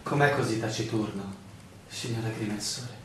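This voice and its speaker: A man's voice: on the low side, moderate pace, natural voice. Distant, echoing, patronising male village official acted out. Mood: quietly patronising. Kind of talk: Italian stage-style radio drama acting. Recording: very echoey, distant, clearly noisy room recording.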